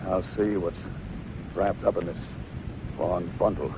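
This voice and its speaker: An actor playing a calm, firm Mountie sergeant in a 1950s radio western, official in manner. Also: male voice, deep voice, muffled recording. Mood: commanding. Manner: measured wording, firm, official. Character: calm, resolute Mountie sergeant of the old west. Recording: noisy, band-limited vintage radio recording.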